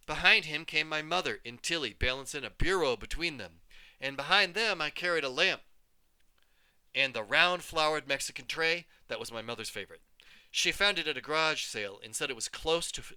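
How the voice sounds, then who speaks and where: A man, a teacher, reading a story aloud with expressive, animated storytelling. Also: mid-range voice, clean tone, no echo. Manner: animated, voices each character.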